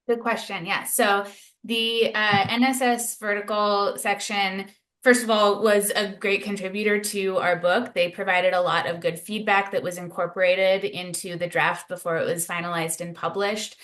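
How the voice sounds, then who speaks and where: A woman presents a webinar on a video call, answering questions in a friendly, measured way with frequent ums. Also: mid-range voice, level tone, fairly bright tone.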